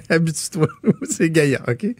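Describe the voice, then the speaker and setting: A man's voice: a little high, a little slow, husky, no echo, studio quality. A man with a Quebec French accent co-hosting a radio show, chatting casually and laughing as he tells stories. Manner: laughing, animated storyteller. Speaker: radio co-host. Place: radio studio. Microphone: studio mic.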